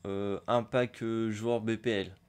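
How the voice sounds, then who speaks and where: A man's voice: lowish voice, steady tone, takes it slowly, darkish tone, faint music behind. A man with a cold chatting casually while opening packs in a football video game, rambling with many hesitations. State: has a cold, hoarse. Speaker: gaming youtuber. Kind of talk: casual pack-opening commentary.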